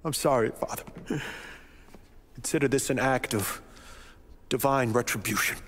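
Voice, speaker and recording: An actor playing a loyal, hot-tempered gangster in grief, with rough street talk, in a dramatic video game. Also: male voice, medium pitch, steady tone, normal speed, heavy background noise, close to an everyday voice. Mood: cold fury. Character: grieving young male gangster, loyal, hot-tempered, vengeful. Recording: echoing church, music behind.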